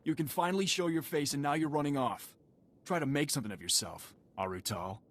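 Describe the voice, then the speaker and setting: An actor playing a brusque, disdainful warrior in a video game, curt and scornful. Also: male voice, a little high, brisk, natural voice.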